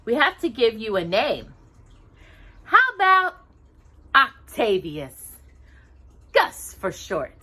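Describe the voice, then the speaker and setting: Storytime lady doing a sweet young fairy-tale heroine, bit bossy, sing-song, jumps up high. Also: wildly swooping pitch, slow pace, long pauses, pushed and bright, exaggerated voice. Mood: kind, soothing. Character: kind young woman, gentle fairy-tale heroine, briskly bossy with animals.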